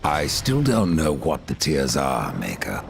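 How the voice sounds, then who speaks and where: Grim, very deep gravelly horseman. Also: wildly swooping pitch, measured pace, slight background noise, full character voice. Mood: stern. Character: grim, imperious male horseman of the apocalypse. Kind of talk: dark fantasy game acting.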